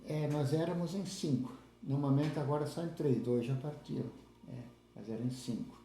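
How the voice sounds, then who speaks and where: A man in his seventies, from southern Brazil, recalling his life in a halting way with many restarts. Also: fairly high pitch, steady tone, mellow, echoey room. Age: 70s. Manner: halting, restarts with repeated words. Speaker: interviewee, lay church leader. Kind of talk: casual spoken recollection.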